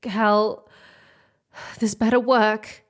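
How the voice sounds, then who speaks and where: A woman playing a determined, hopeful stowaway pilot, deliberate and commanding, in a sci-fi audio drama. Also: mid-range voice, little pitch movement.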